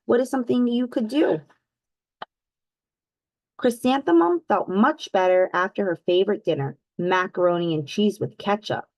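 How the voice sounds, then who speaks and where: A woman's voice: medium pitch, no echo. Woman reading a children's storybook, dry close recording. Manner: teacherly, addresses listeners as friends; animated, exaggerated storytelling emphasis with drawn-out words. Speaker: read-aloud host for children. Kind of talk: expressive children's storybook read-aloud with questions to young listeners.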